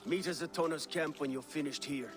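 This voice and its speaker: An actor playing a blunt, commanding Viking warrior leader in a game, speaking tersely. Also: male voice, a little high, a little fast, over music, natural voice. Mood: blunt, dry.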